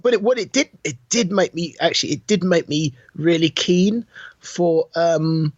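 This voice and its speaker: A man co-hosting a podcast, chatting casually about films in an anecdotal way with a slightly husky voice. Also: on the high side, moderate pace, no room sound, muffled recording. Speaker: podcast co-host. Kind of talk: casual film chat.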